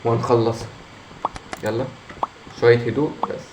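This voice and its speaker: A hoarse man with an Egyptian Arabic accent, commenting on his own gameplay, muttering encouragement to himself and breaking into frustrated outbursts. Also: mid-range voice, little pitch movement, moderate pace. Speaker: gamer. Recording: echoey room with faint noise.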